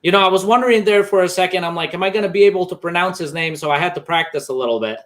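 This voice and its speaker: A man's voice: high-pitched, very fast. A man from Bosnia hosting a conversational interview in a reflective way. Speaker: podcast host interviewing a guest.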